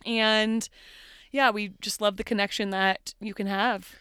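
A woman's voice: mid-range voice, fairly even intonation, unhurried, fairly bright tone, clear, resonant tone, no room sound, studio quality. A woman co-hosting a podcast, chatting candidly about everyday life and laughing often. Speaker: podcast co-host. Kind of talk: casual chat.

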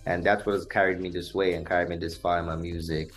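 Deep-voiced Nigerian man, earnest. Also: little pitch movement, fast, faint music behind. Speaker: guest musician. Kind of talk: interview conversation.

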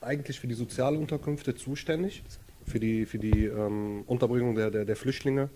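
A man in his thirties from Lebanon, a native Arabic speaker, talking earnestly as an interview guest at home and pausing to search for words. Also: medium pitch, background noise. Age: 30s. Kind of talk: casual interview. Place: his apartment.

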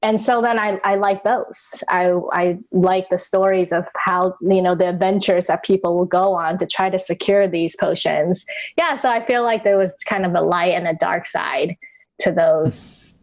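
A middle-aged woman from Taiwan, whose first language is Chinese, speaking as an interview guest in a reflective, searching way with many pauses and restarts. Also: on the low side, fairly even intonation. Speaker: guest, author and podcaster. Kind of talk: conversational interview.

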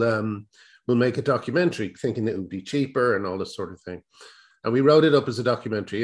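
A middle-aged Irish man, a comedy writer, chatting casually as an interview guest, rambling with restarts and wry self-deprecating asides. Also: on the low side.

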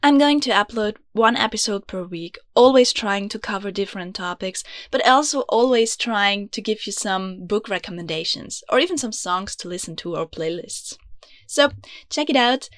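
A young Austrian woman speaks in English as a second language, with German as her mother tongue. She talks in an earnest, confiding tone, now and then sinking into soft, low remarks. Her voice sits in a middle register, recorded dry and close in a small closet. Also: animated, moderate pace, no echo. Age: young adult. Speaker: podcast host. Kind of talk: scripted podcast monologue.

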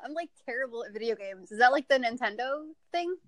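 High-voiced giggly woman in her twenties. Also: lively intonation, a bit bright, no room sound. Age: 20s. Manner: agreeable, giggly, quick to affirm. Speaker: podcast guest. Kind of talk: casual chat.

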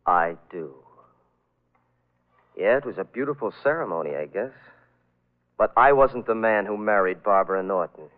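A man's voice: on the low side, lively intonation, moderate pace, long pauses, narrow, tinny audio, close to an everyday voice. An actor playing a bitter, self-pitying young man who narrates his own downfall in old-time radio thriller style. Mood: brooding, wistful. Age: young adult. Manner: brooding, dramatic, self-pitying. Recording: band-limited vintage radio recording.

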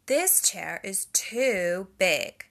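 A woman voicing a fussy little girl in a storybook reading, loud and slow. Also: medium pitch, sing-song, heavily acted. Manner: loud and slowed down.

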